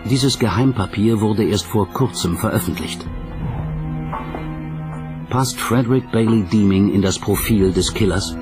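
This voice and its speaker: A man's voice: on the low side, measured pace, long pauses, music in the background. A man narrating a documentary from a script in a dramatic storytelling style.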